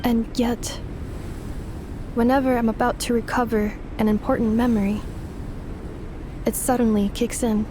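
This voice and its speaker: A woman playing a gentle robot in a game cutscene, solemn and formal, with measured, precise wording. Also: on the high side, level tone, noisy background, lightly acted. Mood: pensive. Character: gentle female robot, solemn, fearing her lost memories. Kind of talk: dubbed video game cutscene acting.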